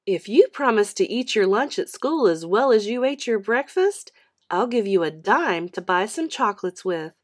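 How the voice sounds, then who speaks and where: Storybook role: gently firm mom, a woman reading, coaxing, emphatic, mid-pitched and animated. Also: moderate pace, close to an everyday voice. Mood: pleased. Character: patient, gently firm mother.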